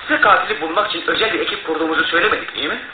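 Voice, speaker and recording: A male actor plays a sardonic, impatient man in a radio play. He talks fast and pointedly in a slightly high voice. The recording is thin and phone-like. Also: natural voice. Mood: impatient, sceptical. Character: impatient, sceptical male challenger of the police. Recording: roomy, noisy, phone-like radio broadcast.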